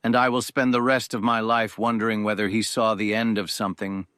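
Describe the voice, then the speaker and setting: Actor as solemn grieving uncle, low and dry. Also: male voice, level tone, fairly bright tone, clean tone, no echo, natural voice. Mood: solemn grief. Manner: solemn, measured. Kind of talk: audio drama funeral speech.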